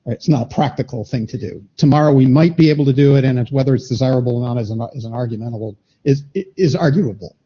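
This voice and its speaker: A man answering at a standards meeting, halting and repeating words as he restarts. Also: mid-range voice, warm tone, husky. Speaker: meeting participant. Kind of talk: technical discussion at a standards meeting. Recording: dull lo-fi conference room audio.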